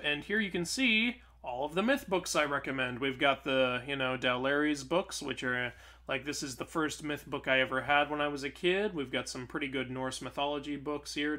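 A man's voice: fairly high pitch, pushed and bright. A young adult man chatting casually and informally to camera as a video host. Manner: informal, conversational, frequent "like" and "you know". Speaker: YouTube host. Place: small bedroom at home.

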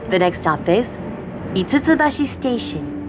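A woman giving formal, deliberate scripted next-stop announcements on a subway. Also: medium pitch, big pitch swings. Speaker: English-language subway announcer. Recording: in-car speaker recording with train noise. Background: running subway train noise.

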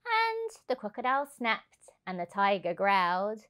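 A woman, around her thirties, reading a children's rhyming storybook aloud in an expressive, rhythmic way. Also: medium pitch, unhurried. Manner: animated, rhythmic, emphatic delivery. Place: indoors on a sofa. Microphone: clip-on lapel mic.

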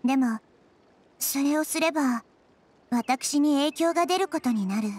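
A woman voicing a gentle, wise little girl deity in an anime game, speaking softly and with composure. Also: high voice. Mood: thoughtful, serious. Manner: soft, composed, feminine phrasing with measured delivery. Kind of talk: anime game dialogue acting.

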